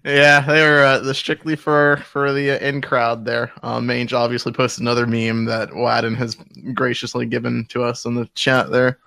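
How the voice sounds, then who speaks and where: A man's voice: mid-range voice, completely flat delivery, normal speed, gravelly, hardly any pauses, bright, forceful tone, no room sound. A man co-hosting a podcast in casual, jokey group chat. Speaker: podcast co-host.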